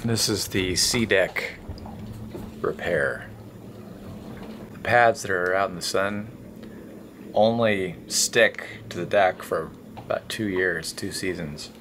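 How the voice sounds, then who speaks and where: A man's voice: low voice, takes it slowly, pauses often, background noise. A middle-aged man on a sailboat explaining a repair in a casual, matter-of-fact way. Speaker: sailing vlogger. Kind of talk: casual vlog narration.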